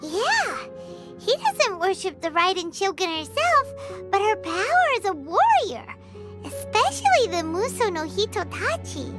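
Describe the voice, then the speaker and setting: A woman voicing a tiny childlike fairy companion in a video game, squeaky, chatty, blunt and cheeky. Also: sing-song, a little slow, music in the background, exaggerated voice. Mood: cheeky commentary. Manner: chatty, refers to self in third person. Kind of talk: video game cartoonish character acting.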